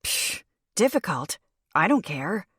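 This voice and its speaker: A woman putting on a bitter, defiant young captive who answers bluntly and with scorn. The voice sits low and dry, with close, plain storybook delivery. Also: sing-song, slow, sharp tone, husky, no echo, heavily acted.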